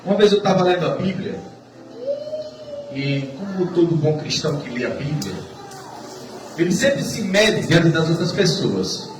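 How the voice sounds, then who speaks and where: A Brazilian man giving a testimony sermon in church, phrasing his points deliberately and repeating them. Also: fairly high pitch, very animated. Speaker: church preacher. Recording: echoey room, far mic, noisy.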